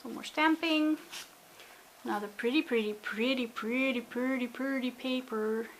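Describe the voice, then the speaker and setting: A woman's voice: fairly high pitch, measured pace, slight background noise, low-quality recording. A woman casually narrating a craft journal flip-through, chatty and gushing, often talking to herself. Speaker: craft video maker. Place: indoors at a table.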